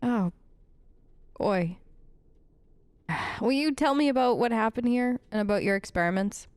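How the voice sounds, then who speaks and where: A woman's voice: on the high side, a little slow, darkish tone, no echo. A woman doing casual role-play commentary for a video game, wry and conversational, on a close microphone. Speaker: let's play host.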